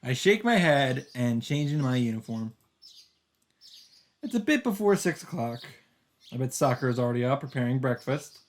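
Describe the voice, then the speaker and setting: A man's voice: mid-range voice, very animated, normal speed. A man hosting a let's play, reading story narration aloud expressively with occasional casual asides. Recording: music under the voice.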